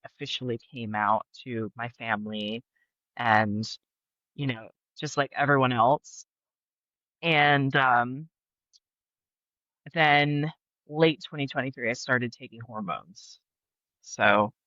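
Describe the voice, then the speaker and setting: A man's voice: medium pitch, pushed and bright, no room sound, highs cut off. A young man chatting as a podcast guest, laughing often and talking with many fillers. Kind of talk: casual interview chat. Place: Brooklyn.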